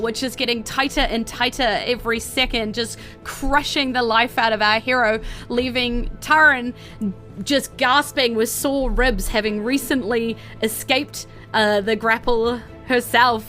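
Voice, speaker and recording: A woman game master with a New Zealand accent speaks in a bright, forward voice. She is casual and enthusiastic, improvising her narration of a tabletop adventure, with faint music underneath. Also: fairly high pitch. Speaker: game master and podcast host.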